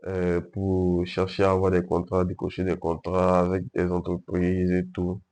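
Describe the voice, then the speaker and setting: A young man from Ivory Coast answers interview questions in a calm, unhurried way. He hesitates often and circles back to finish his thoughts. His voice is on the low side. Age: young adult. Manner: hesitant, calm, frequent fillers and restarts. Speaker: guest entrepreneur.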